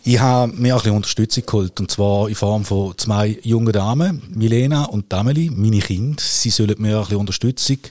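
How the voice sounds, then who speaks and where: A man's voice: on the low side, brisk, few pauses, darkish tone. A man with an eastern Swiss German accent, hosting a conversational podcast interview. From Switzerland. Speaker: podcast host, journalist.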